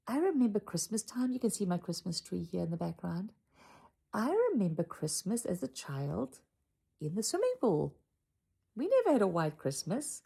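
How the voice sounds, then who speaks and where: Gravelly South African woman reminiscing. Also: on the low side, mellow. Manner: chatty and reflective, drifting into asides. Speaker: channel host. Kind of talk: casual personal storytelling to camera. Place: home room with a Christmas tree.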